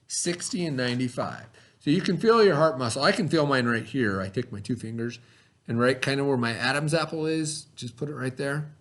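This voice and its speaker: A middle-aged man reading a lesson aloud in an instructional way, pausing to explain and repeat key terms. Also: mid-range voice, a bit bright. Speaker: teacher reading aloud to children.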